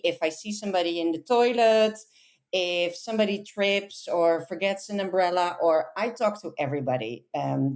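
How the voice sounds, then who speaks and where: A woman, a podcast guest telling lively, self-amused anecdotes in a casual interview. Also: on the low side. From Netherlands. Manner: chatty, animated, self-amused storyteller.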